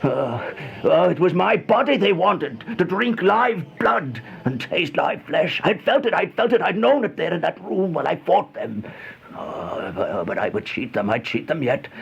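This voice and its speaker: Terrified, stammering horror narrator, creaky voice. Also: male voice, fairly high pitch, sing-song, moderate pace, heavily acted. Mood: feverish, paranoid. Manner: stammering, gasping, overwrought, melodramatic delivery. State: panting, out of breath. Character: terrified, feverish man trapped with monstrous flies, horror narrator. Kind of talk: old-time radio horror monologue. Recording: dull, noisy old radio recording.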